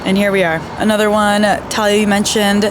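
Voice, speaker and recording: A woman's voice: mid-range voice, level tone, a little fast. Canadian woman vlogger, native Hebrew speaker, casual chat, traffic noise behind. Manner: chatty, laughs easily. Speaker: vlogger, nurse. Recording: noisy street and car background.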